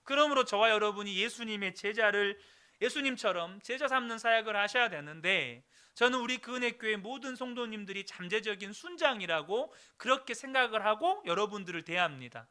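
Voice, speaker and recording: Bright, animated preaching man. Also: high voice, fairly quick, clean tone. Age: 30s. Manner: earnest, direct address to the congregation. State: recovering throat, clears throat. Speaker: pastor. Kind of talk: sermon.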